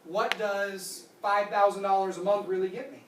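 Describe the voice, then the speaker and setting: A trainer in their thirties gives a product training talk to a room and answers questions with quick yeses and nopes. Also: mid-range voice, fairly even intonation. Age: 30s. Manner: explanatory, answers questions with quick "nope" and "yep". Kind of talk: training presentation with Q&A. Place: conference room. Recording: roomy, distant mic with faint noise.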